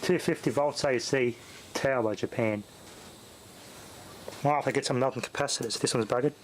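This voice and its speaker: A man's voice: medium pitch, normal speed, a little hiss or hum, low-quality recording. A man giving casual, off-the-cuff repair commentary as a hobbyist tinkerer, muttering to himself in short fragments as he thinks aloud.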